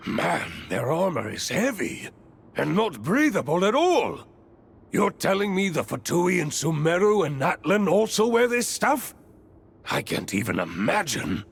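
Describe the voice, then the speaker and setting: A raspy, gravelly adventurer dad from a video game. He is boisterous and playful, with a higher voice that leaps all over in big, expressive swings. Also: a little slow, heavily acted. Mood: grumbling. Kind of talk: animated video game voice acting.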